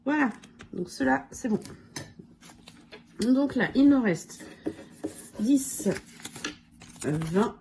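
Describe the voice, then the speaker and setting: A woman with a cold and a hoarse voice, casually going through her budget challenges and counting money aloud with lots of fillers. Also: a little high, animated, very slow, pauses often, darkish tone, slight background noise. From France. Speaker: budget vlogger. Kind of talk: casual budget review talk.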